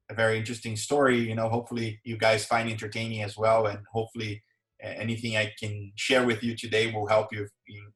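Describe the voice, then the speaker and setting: A man with a Brazilian accent and Portuguese as his first language, talking haltingly about his career as an interview guest. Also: on the low side, fairly bright tone. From southern Brazil. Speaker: podcast guest, sports executive. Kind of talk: conversational interview, telling own career story. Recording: roomy, echoey sound.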